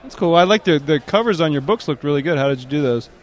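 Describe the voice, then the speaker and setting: Male host, slightly high voice, teasing and rambling interviewer, recorded outside. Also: expressive, fast. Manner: teasing, rambling, many fillers. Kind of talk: casual interview.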